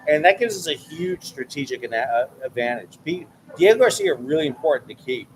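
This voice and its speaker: A man's voice: fairly high pitch, lively intonation, brisk, soft background music, low-quality recording. A man from New York, a military analyst who hosts a channel, talking casually and with opinions in a bar, often repeating words while he thinks. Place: bar, ambient noise.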